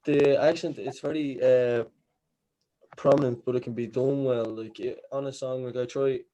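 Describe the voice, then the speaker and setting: Irish rapper guest, relaxed chat, mid-pitched, easy laughs. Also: male voice, normal speed, rough recording. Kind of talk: casual chat.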